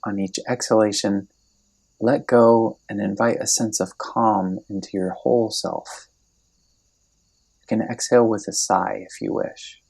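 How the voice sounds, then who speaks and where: Deep-voiced man guiding meditation, creaky tone. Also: steady tone. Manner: gentle, unhurried, soothing instruction with long pauses. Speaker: meditation guide. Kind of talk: guided meditation, scripted narration.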